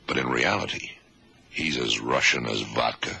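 An actor playing a shrewd detective in a radio drama, deliberate and authoritative as he lays out a case. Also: pushed and bright, full character voice. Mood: grave, explaining. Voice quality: very deep, gravelly, husky. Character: shrewd male American blind detective explaining a case. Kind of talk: 1970s radio drama acting.

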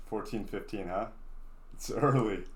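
A man in his early twenties hosting a casual interview podcast, relaxed and jokey in manner. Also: lowish voice, unhurried. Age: 20s. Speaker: podcast host. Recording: roomy echo.

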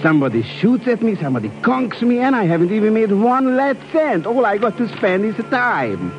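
Jumpy, high-pitched wisecracking sidekick. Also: male voice, sing-song, brisk, soft background music. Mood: griping. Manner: slangy, flustered, comic bad grammar. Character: nervous, wisecracking, griping male small-time sidekick. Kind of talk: 1950s radio drama comic acting. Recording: muffled, hissy old radio recording.

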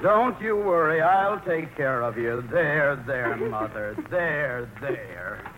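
An actor playing a meek, devoted grown son, earnest and fretful, in 1940s radio style. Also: male voice, mid-range voice, animated, takes it slowly, narrow, tinny audio. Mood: soothing. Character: devoted, anxious mama's-boy grown son, meek, whiny. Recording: noisy old radio broadcast.

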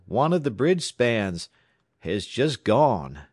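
A man's voice: mid-range voice, sing-song, normal speed, no echo, clean studio sound, pitched above the performer's own voice. A man voicing a shaken railway chief dispatcher, grave and deferential, in an audiobook story. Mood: stunned. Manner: strained, deferential.

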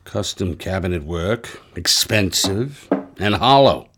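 Cynical Boston cop sergeant, bossy, gruff delivery, animated pitch, old-time radio drama, faint noise. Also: male voice, slow. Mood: matter-of-fact. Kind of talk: 1920s-style radio drama acting.

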